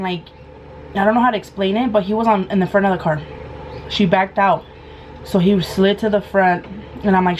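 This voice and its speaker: A woman in her thirties with a hoarse, sore throat, telling a casual storytime vlog and acting out the quoted lines. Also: mid-range voice, a little hiss or hum, rough recording. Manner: animated, acts out quoted lines. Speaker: vlogger.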